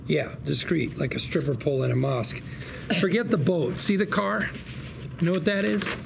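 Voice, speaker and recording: An actor playing a slick, fast-talking con-artist lawyer at a drama table read, full of persuasive, slangy patter. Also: male voice, on the high side, gravelly, noisy background. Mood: brisk instructing. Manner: glib, persuasive patter with colourful slangy quips.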